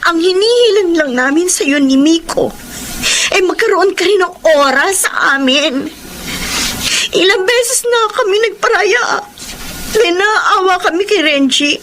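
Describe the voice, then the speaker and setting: Jealous, wounded Filipina wife, very shrill-high and swooping, emphatic melodrama delivery, noisy backdrop. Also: female voice, expressive, unhurried, fairly bright tone, full character voice. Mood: tearful pleading. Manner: tearful, accusing, emphatic. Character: jealous, hurt wife pleading with her husband. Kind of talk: Filipino radio-style melodrama acting.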